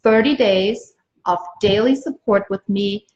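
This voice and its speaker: A woman from Austria, a native German speaker, speaks as a business coach giving an emphatic, repetitive motivational pitch on a livestream. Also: unhurried, darkish tone. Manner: emphatic, repeats phrases for stress. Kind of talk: motivational live sales pitch to viewers. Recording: dull lo-fi livestream audio.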